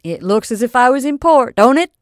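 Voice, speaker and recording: A woman voicing an earnest old male sea captain who talks in loud, salty seafaring phrases. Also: on the high side, lively intonation, fairly quick, no room sound, studio quality, pitched above the performer's own voice, lightly acted. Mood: jubilant.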